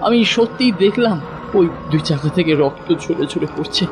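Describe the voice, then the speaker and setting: A man's voice: on the high side, lively intonation, close to an everyday voice. An actor playing a terrified, insistent young city man who stammers and repeats himself in a horror audio drama. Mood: frightened, insistent. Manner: stammering, halting, repeats words. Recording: loud music over the voice.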